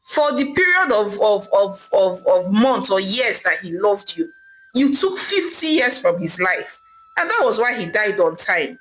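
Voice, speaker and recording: A woman's voice: mid-range voice, very animated, unhurried, a bit bright, faint music behind, rough recording. A woman storyteller playing a male native doctor who admonishes firmly and with emphatic repetition. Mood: firm reproach. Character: male native doctor, firm, unyielding, reproachful.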